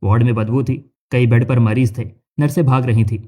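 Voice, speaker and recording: A man's voice: medium pitch, fairly even intonation, a little fast, warm tone. A man narrating a scripted cartoon story as its narrator.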